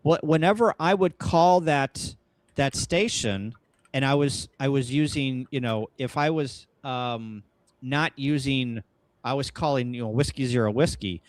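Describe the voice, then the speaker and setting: A man's voice: medium pitch, measured pace, no room sound. A man hosting a casual technical chat about amateur radio, thinking aloud and often restarting his sentences. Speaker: host and ham radio operator.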